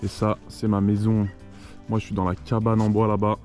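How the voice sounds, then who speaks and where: A man's voice: on the low side, completely flat delivery, moderate pace, darkish tone, soft background music. A man from Lyon with a French accent from France, chatting casually and enthusiastically to camera in slangy, informal vlog style. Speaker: vlogger.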